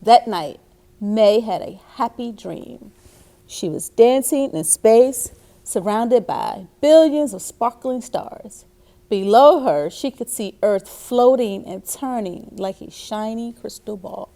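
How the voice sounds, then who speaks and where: A woman reading a children's story aloud in an expressive way, speaking directly to young listeners. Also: fairly high pitch, takes it slowly, gravelly. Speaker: story time reader. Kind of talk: reading a children's picture book aloud with asides.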